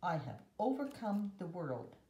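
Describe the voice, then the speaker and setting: A woman in her seventies reading a children's picture book and scripture aloud, carefully and in measured short phrases. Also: on the low side, sing-song, dark tone. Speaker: guest story reader. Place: room at home. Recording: roomy echo.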